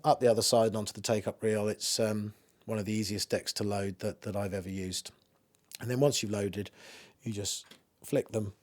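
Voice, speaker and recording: A man's voice: lowish voice, a little mellow. A man giving an informal, matter-of-fact spoken demonstration of audio equipment, explaining as he goes. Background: music played through a tape deck.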